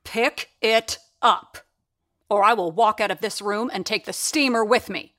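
A woman's voice: mid-range voice, a little slow, fairly bright tone, dry recording, clean studio sound, natural voice. A woman playing a wry, seasoned, no-nonsense radio sound-effects worker in an audio drama, talking in conversational asides. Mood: stern. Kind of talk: 1930s-set audio drama narration and dialogue.

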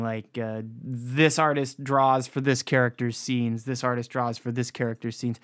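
A man's voice: mid-range voice, a bit bright. A young American man hosting a podcast, giving a casual, rambling, self-deprecating solo review in informal language. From United States. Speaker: podcast host.

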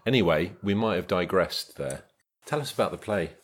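A man's voice: deep voice, expressive, normal speed. A man hosting a podcast in casual chat, with a dry, joking, slightly hesitant manner. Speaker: podcast host.

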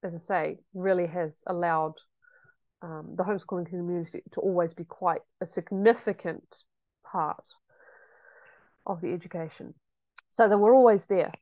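A woman's voice: on the low side, fairly even intonation, normal speed, pauses often, no room sound, dull audio. A woman, a homeschooling mother chatting reflectively in an interview with frequent ums. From New Zealand. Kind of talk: casual interview chat.

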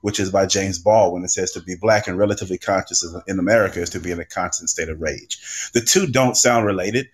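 A man speaking as a podcast panel guest in a reflective, measured and deliberate way. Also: low-pitched. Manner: measured, deliberate, builds lists and quotations.

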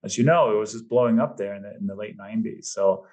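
A man in his fifties from Denmark with a slight Danish accent, a podcast guest telling his story in a relaxed way with fillers. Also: on the low side, fast talker. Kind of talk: casual interview chat.

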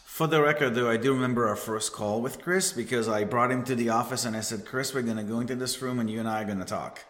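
A middle-aged man from Israel with an Israeli accent, a guest in a casual podcast chat who talks in a lively, emphatic way. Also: mid-range voice, quick pace.

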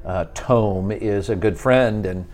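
A middle-aged wine writer, chatting as a guest and telling wry, animated stories. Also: male voice, fairly low pitch, takes it slowly. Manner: animated storyteller, wry, mimics voices in quotes. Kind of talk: casual interview chat.

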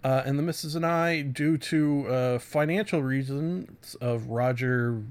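A man talks through casual reviews as a solo podcast host, in a medium-pitched voice. His delivery is halting and conversational, full of asides, self-interruptions and corrections. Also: clean tone. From Canada.

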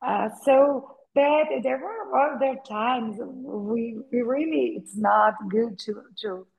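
A middle-aged Brazilian woman with a Portuguese accent, speaking English as a second language, talking as an interview guest and pausing often with "uh" and repeated words. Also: fairly high pitch, gravelly, phone-quality sound. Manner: hesitant, with frequent "uh" pauses and repeated words. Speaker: guest, attorney and novelist. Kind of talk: conversational interview.

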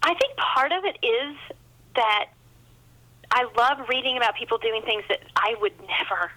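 A woman's voice: fairly high pitch, expressive, normal speed, sharp tone, rough recording. A woman talking casually as an author guest in an interview, chatty and self-deprecating.

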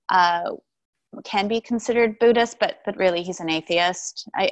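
A woman's voice: medium pitch, no room sound. Thoughtful woman in her forties, dry sound. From Taiwan, raised in Vancouver, Canada. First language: Mandarin. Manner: thoughtful, with frequent ums and restarts. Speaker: guest, shamanic practitioner. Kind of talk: casual interview talk.

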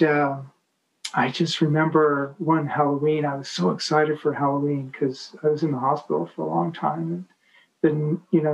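A man telling stories as a podcast guest, halting with many restarts and fillers. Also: fairly high pitch, creaky, highs cut off. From United States. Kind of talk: casual storytelling.

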